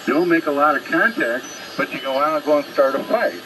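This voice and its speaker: A man's voice: a little high, fairly quick. Blunt man arguing over noisy ham radio. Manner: blunt, confrontational, lecturing. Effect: shortwave single-sideband radio transmission. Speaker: amateur radio operator.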